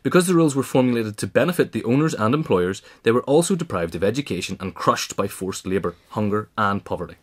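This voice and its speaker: A man's voice: lowish voice, lively intonation, fast talker, hardly any pauses. A man reading a scripted history essay aloud in a formal manner. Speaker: audiobook reader.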